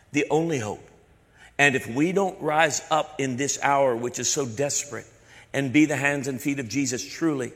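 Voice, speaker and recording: Preaching man in an echoey hall. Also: medium pitch. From American South. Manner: preaching with repetition and building emphasis. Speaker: pastor. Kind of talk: sermon. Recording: echoey hall with long reverb.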